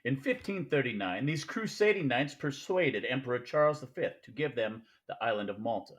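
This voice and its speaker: An actor playing a jovial, scheming older crime boss in a 1940s style radio play, with grandiloquent, over-polite manners. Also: male voice, medium pitch, animated, moderate pace, natural voice. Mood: courteous, expansive.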